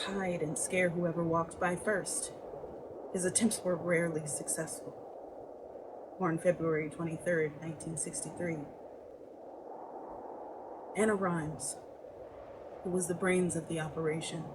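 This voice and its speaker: A woman's voice: lowish voice, noisy background, close to an everyday voice. A woman playing an unhinged, murderous young thrill-seeker in a theatrical, darkly gleeful dramatic monologue.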